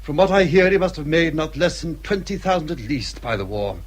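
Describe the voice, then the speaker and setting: An actor playing an easygoing, hearty young country squire in a period drama, with an English accent and an offhand, genial manner. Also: male voice, a little high, big pitch swings, brisk. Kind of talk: British period radio drama acting.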